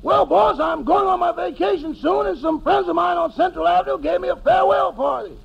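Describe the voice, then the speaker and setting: Put-upon wisecracking valet, extremely raspy creak, on a dull vintage radio recording. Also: male voice, very high-pitched, a little fast, exaggerated voice. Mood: wry. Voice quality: gravelly. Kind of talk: 1950s radio comedy acting. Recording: muffled 1950s radio recording.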